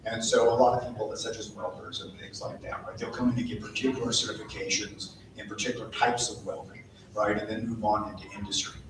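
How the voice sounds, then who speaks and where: A man's voice: lowish voice, little pitch movement, fast talker, a little hiss or hum. A community college dean talking on a conference panel in a conversational way, often adding "right?" as he explains. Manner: conversational, frequent "right?" asides. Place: large conference hall with audience. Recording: echoey hall, mic at a distance, audience present.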